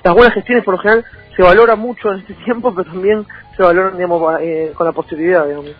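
Fast, high-voiced young Argentine man, husky. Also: fairly even intonation. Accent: Buenos Aires Argentine. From Avellaneda, Buenos Aires, Argentina. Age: young adult. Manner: halting, many verbal tics and false starts. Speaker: political candidate being interviewed. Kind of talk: radio interview, spontaneous political talk. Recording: dull lo-fi radio audio with music.

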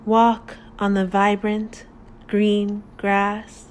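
A woman narrating a guided meditation in a calm, deliberate, soothing manner with long pauses. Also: mid-range voice.

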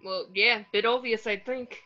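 Woman reading defensive young man, high and bright. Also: steady tone, moderate pace. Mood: awkward. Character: defensive, awkward young man, a reluctant friend. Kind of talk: amateur screenplay table read. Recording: dull video-call audio.

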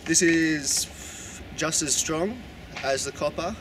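Plumber explaining casually over job noise. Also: male voice, a little high, slow pace.

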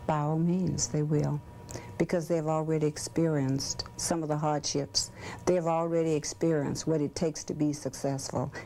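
Low, mellow-voiced middle-aged woman. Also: flat delivery, moderate pace, low-quality recording. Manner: firm, assured. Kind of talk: interview answer.

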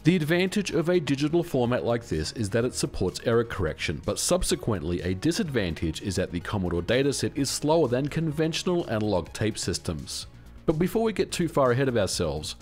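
A middle-aged man, around his forties, giving a scripted explanatory narration as a video host in a measured, instructional way. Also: mid-range voice, a little mellow. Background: background music.

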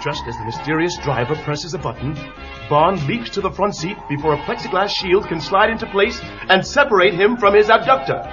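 A man narrating a children's action story with punchy drama. His voice runs a touch high. The sound is a muffled 1980s tape with music playing throughout. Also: expressive, a little fast.

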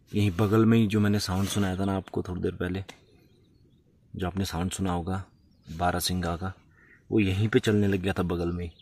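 A low-pitched man talks informally to his viewers, narrating what he is doing as he camps alone. The mic sits at some distance, with faint noise around his voice. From India. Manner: informal, narrates own actions. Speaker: solo camping vlogger. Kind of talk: casual chat to viewers. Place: at a forest campsite.

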